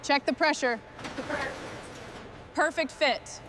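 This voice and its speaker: A woman's voice: high voice, slow pace, background noise. A woman working as a mechanic, giving short hands-on commentary on her work as she goes. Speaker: mechanic narrating restoration work.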